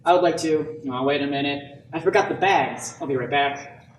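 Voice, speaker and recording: A breezy young man home from college, acted by a young man in a radio play. His voice is a bit high and casual, with roomy echo and faint noise. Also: normal speed, lightly acted. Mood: apologetic. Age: young adult. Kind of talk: amateur radio play acting. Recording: echoey room, distant mic, some noise.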